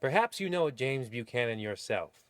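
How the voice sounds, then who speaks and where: A man performs a wry, ominous host of an old-time radio horror spoof. He speaks at a middling pitch with mock solemnity and deliberate pauses. The recording is very dry. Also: big pitch swings, no echo, lightly acted. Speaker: narrator.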